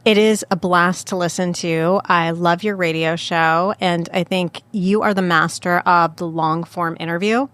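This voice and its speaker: An American woman hosts a casual local chat show, talking fast and friendly with frequent laughter. Her voice sits a bit low for a woman. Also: fairly even intonation, a bit bright. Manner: chatty, quick, laughs often. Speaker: podcast host.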